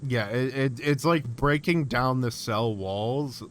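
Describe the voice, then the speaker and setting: A man's voice: medium pitch, takes it slowly, a bit bright, slight background noise. A man doing casual reaction commentary as a streamer, thinking aloud and reading text off the screen. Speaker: streamer reacting to an analog horror series.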